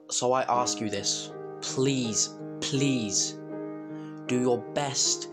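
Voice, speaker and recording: A fan fiction reader talking informally and earnestly to listeners, in a halting way. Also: mid-range voice. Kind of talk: informal reflective talk to listeners.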